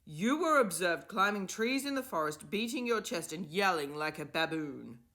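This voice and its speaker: A woman voicing a stern male academy professor who bursts into shouting rage. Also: lowish voice, very animated, normal speed, pitched above the performer's own voice. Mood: curt. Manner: clipped, then shouting in rage.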